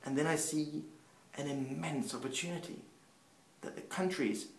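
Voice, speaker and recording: A man raised in Peru, Spanish his first tongue, preaches with earnest, building emphasis that sinks back to low, quiet ends. His voice sits a little high. The room around him rings with echo. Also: steady tone, normal speed, creaky, slight background noise. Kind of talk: sermon-style teaching.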